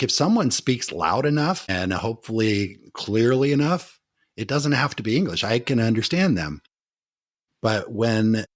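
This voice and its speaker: Fifties male podcast host, lowish voice, rambling questions, dry close mic. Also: no room sound. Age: 50s. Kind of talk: casual interview conversation.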